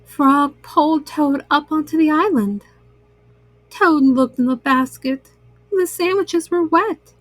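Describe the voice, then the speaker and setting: High-voiced woman reading slowly to children. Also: no room sound. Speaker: children's storyteller. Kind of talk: read-aloud story narration for children.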